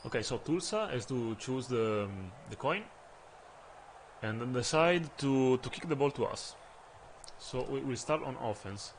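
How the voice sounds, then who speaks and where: A man from Italy with an Italian accent, commenting on his own football video game stream in English, his second language, pausing often with fillers as he searches for words. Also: mid-range voice, animated, a little hiss or hum.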